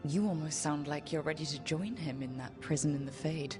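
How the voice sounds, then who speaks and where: A woman's voice: low-pitched, brisk, over music, lightly acted. An actress playing a resolute, earnest young woman who leads a band of heroes in a fantasy game. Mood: probing, sympathetic. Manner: earnest, rallying, plain-spoken. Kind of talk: dramatic fantasy game voice acting.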